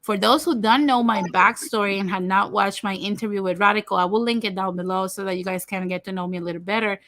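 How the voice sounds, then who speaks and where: Bright-voiced Puerto Rican woman host. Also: medium pitch. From Puerto Rico. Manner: talkative, frank, addresses viewers directly. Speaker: host of a livestream talk show. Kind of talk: casual livestream chat.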